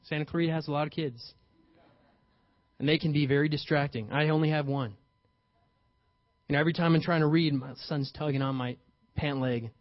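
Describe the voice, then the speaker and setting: A young man preaching with a high, bright-edged voice, pushing into sudden bursts of emphasis and playful acted-out lines. The recording is dry and a little dull, as if captured without much room sound on modest gear. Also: a little fast, pauses often, no room sound. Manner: animated, acts out paraphrased dialogue with playful emphasis. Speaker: pastor. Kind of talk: sermon.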